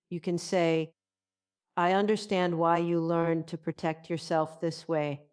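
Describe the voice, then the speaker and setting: A woman giving a calm, guided self-compassion narration in a gentle, measured, soothing way. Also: deep voice.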